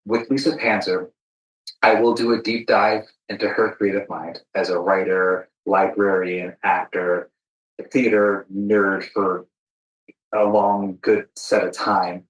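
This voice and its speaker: A man's voice: sits low, little pitch movement, takes it slowly, reverberant, muffled recording. A man hosting a conversational podcast interview, speaking reflectively and sometimes repeating words as he finds his phrasing. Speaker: podcast host.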